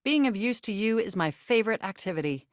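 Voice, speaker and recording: A helpful female computer character from a video game, acted by a woman, recorded very dry. She is polite and precise in her wording, yet excitable and geeky. Her pitch is mid-range and moves around with lots of animation. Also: a little fast, no echo, lightly acted. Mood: cheerful, formal. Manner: formal, precise wording with bursts of giddy slang.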